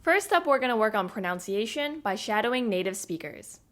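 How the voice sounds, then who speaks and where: Young american woman, lively pitch, upbeat tutorial narration, clear delivery. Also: brisk, faint music behind. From United States. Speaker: language learning vlogger.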